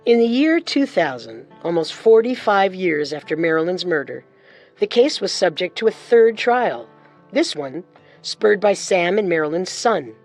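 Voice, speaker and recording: A woman co-hosts a scripted true-crime story as narrator. Her pitch is a little low but swings widely, with music faintly underneath. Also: sing-song, measured pace, darkish tone. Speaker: narrator, co-host.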